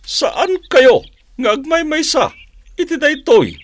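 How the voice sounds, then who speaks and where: A woman's voice: sits high, very animated, heavily acted. A woman playing a stern, defiant fighter in a radio drama, speaking emphatically. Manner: slow, emphatic. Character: defiant, stern fighter urging battle and patience.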